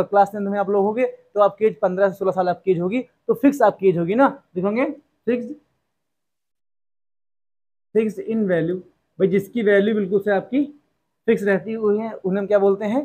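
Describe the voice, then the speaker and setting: High-voiced man teaching maths to students. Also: moderate pace, dark tone. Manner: repetitive rhetorical questions, addresses students as "beta". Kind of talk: classroom lesson explanation.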